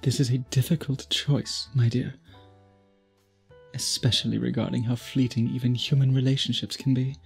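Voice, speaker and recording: Man acting a husky, courtly vampire suitor. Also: mid-range voice, flat delivery, moderate pace, a little mellow, faint music behind. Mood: wistful, yearning. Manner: formal, archaic phrasing, intimate and possessive. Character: courtly, obsessive old-fashioned male vampire suitor. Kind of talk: intimate ASMR roleplay acting.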